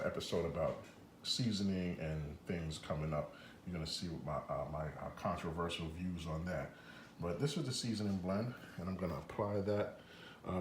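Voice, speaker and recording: Low-voiced guy doing a laid-back cooking show, chatty and jokey, echoey kitchen with a little hiss. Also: darkish tone. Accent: slips into a Jamaican accent. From Jamaica. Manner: chatty, loose and jokey with frequent fillers. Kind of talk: casual cooking demonstration.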